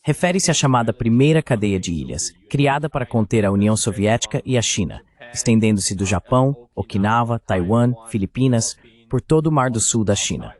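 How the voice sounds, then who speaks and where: A man's voice: medium pitch, animated, fairly quick, a little mellow. A man giving explanatory geopolitical commentary as a guest on a discussion panel.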